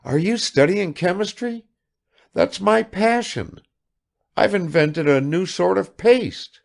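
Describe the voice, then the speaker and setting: A man putting on a gushing high-pitched society lady. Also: lively intonation, gravelly, exaggerated voice. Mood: gushing chatter. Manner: gushing, rapid-fire questions. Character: affected chattering provincial woman.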